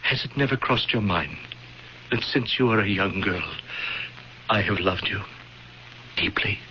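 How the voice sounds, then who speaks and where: Radio play casting: courtly villainous high priest, smooth false sympathy, low register, dull old broadcast sound. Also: male voice, animated, slight background noise. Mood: insinuating, ardent. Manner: measured, courtly, formal. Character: stately, scheming dark-robed priest, smoothly feigning sorrow. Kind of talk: classic radio drama acting.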